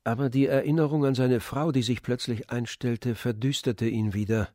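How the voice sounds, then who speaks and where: A man narrating a literary radio play in a measured, composed manner. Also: medium pitch, fairly even intonation, dark tone, no room sound. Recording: dry studio with faint music.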